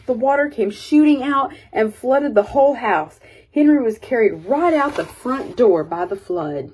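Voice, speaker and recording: A woman reading a children's picture book aloud as an expressive storyteller. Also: on the high side, a little slow, husky.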